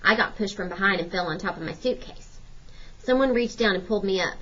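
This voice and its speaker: A woman's voice: medium pitch, brisk, a bit bright. A woman narrating a children's novel aloud like a teacher reading to pupils. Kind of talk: storybook narration. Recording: slightly dull, lo-fi audio.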